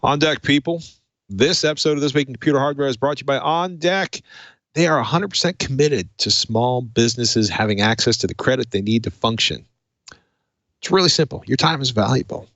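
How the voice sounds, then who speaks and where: A man's voice: mid-range voice, fairly quick, fairly bright tone, no echo. A man hosting a tech podcast, talking through hardware and reading in a presenter's way. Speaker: podcast host. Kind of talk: tech podcast talk and host-read sponsor spots.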